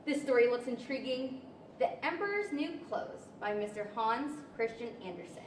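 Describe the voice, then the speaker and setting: A woman's voice: a little high, expressive, moderate pace, close to an everyday voice. A young woman playing a polite, curious young girl in a prim storybook manner, in radio theater style. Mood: pleased, curious. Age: young adult. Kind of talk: 1940s radio theater acting. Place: large library event room, live performance. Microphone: stand microphone. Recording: echoey hall, distant, clear background noise.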